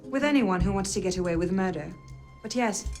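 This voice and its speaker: An actress playing a bold, sharp-witted young woman in a video game, wry and probing. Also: mid-range voice, lively intonation, a little fast, over loud music, close to an everyday voice. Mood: inquisitive. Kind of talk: dramatic video game acting.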